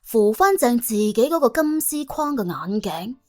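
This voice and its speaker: A woman narrating an audiobook in colloquial Cantonese, telling the story in a wry, dramatic, expressive way. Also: fairly high pitch, measured pace.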